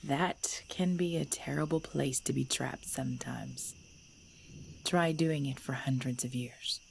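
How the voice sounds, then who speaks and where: Lady acting as ancient vampire queen, low breathy voice, formal n courtly, asmr roleplay. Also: fairly even intonation, a little slow, slight background noise, exaggerated voice. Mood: amused, gentle. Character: centuries-old vampire mistress, female, cold ruler turned protective.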